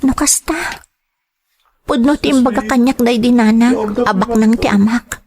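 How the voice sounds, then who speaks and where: Woman acting a high-voiced, earnest young daughter. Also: normal speed, crowd noise, close to an everyday voice. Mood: wistful, hesitant. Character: earnest, wronged young daughter seeking her estranged father. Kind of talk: Filipino radio drama acting.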